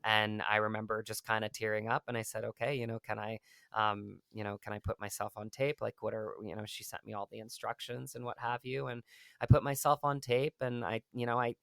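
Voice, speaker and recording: An earnest man rambles through his story with plenty of fillers and restarts. His voice is gravelly and his pitch stays flat and even. The sound is dry and close to the mic. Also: a little fast, no room sound. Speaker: actor guest. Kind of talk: casual interview conversation.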